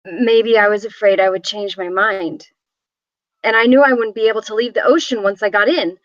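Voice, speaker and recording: A woman's voice: mid-range voice, normal speed, no room sound, highs cut off, natural voice. A woman reading the part of a haunted young woman who reflectively narrates her family's tragic story at a script table read. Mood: somber.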